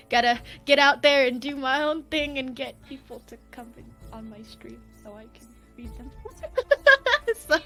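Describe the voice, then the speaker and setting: A woman's voice: sits high, fairly bright tone. A woman hosting a casual live stream as a tarot reader, giggly and informal in manner.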